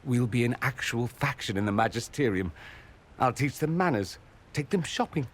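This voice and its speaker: Witty, theatrical nobleman mage with lively delivery, played by a man. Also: medium pitch, moderate pace, lightly acted. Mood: droll, reassuring. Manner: arch, theatrical, droll. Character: witty, flamboyant mage nobleman, tender and wry. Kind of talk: dramatic video game dialogue.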